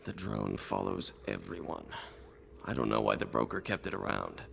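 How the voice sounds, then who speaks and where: Weary alien ex-informant, heavily gravelly, lively pitch swings, dry and clipped, video game, background noise. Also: male voice, normal speed, full character voice. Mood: dry, guarded. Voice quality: gravelly, rasping.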